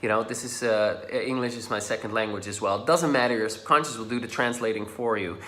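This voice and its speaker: A man whose first language is Dutch, talking casually and encouragingly as a coach leading a guided tapping lesson. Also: lowish voice. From Netherlands. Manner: casual, encouraging, drops to soft and slow when guiding. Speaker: social anxiety coach. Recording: echoey room.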